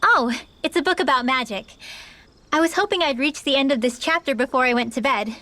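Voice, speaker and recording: A woman's voice: high voice, brisk, pushed and bright, no room sound. A woman playing an eager, bookish teenage girl mage in a video game, with a youthful, easily indignant manner. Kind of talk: video game cutscene acting.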